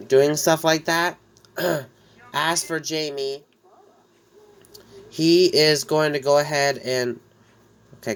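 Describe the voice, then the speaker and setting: A man with a head cold talks slowly through his life-sim game story, his voice a little stuffy and nasal. His tone stays bright and forward despite the congestion. He rambles, circling back with "so" and "um" as he sets up each scene. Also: medium pitch, smooth. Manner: rambling, with frequent "so" and "um" restarts. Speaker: gameplay narrator. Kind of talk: casual let's-play storytelling.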